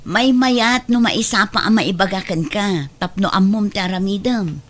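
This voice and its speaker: A woman's voice: medium pitch, moderate pace, close to an everyday voice. A woman playing a resentful, meddling elder sister in an Ilocano family drama. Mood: insistent, nagging. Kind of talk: Ilocano radio-style family drama acting.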